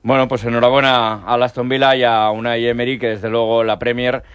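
A man from Spain hosting a sports talk show, talking in a measured way with frequent hesitations. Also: mid-range voice, level tone, a bit bright, smooth, no echo. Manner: measured, hesitant with many fillers. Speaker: host.

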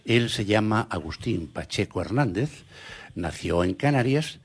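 Husky-voiced male radio interviewer. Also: lowish voice, animated, measured pace. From Galicia. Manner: friendly, prompting questions with short backchannels.

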